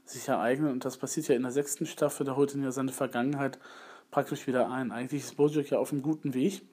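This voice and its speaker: A man's voice: mid-range voice, fairly even intonation, fast talker, a little mellow, slightly rough. A man with a slight cold giving a rambling, hesitant spoken review in a casual monologue. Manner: rambling, hesitant, repeats filler phrases. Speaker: video blogger.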